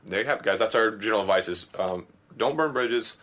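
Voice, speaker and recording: A man with a lowish voice co-hosts a casual podcast. He talks through advice in an easygoing way and fills his sentences with "you know". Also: quick pace, a bit bright. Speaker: podcast co-host.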